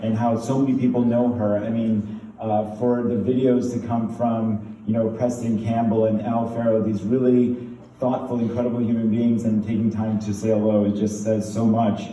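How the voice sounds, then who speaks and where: A man's voice: on the low side, level tone, normal speed. A man from Turkey, a pulmonologist, giving a casual tribute speech full of fillers and restarts. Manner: rambling, many fillers and restarts, laughing warmly. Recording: distant echoey room, faint noise.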